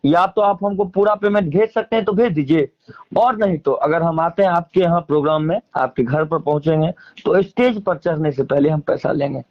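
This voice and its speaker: A man's voice: on the high side, a little fast, muffled recording. A man with a Bihari accent speaking casually as an interviewee, emphatically airing grievances in colloquial, repetitive phrasing. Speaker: interviewee, singer and stage performer.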